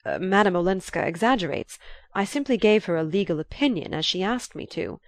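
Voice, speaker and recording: A woman voicing a reserved, embarrassed young gentleman in an audiobook, with a polite, restrained manner. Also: mid-range voice, expressive, fairly quick, no room sound, clean studio sound, close to an everyday voice.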